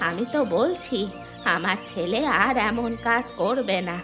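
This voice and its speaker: A woman's voice: fairly high pitch, lively intonation, a little slow, fairly bright tone, soft background music. A woman playing a poor, humble, long-suffering village mother in a cartoon, plaintive and loving. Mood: pleading, apologetic. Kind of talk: Bengali fairy tale cartoon dubbing.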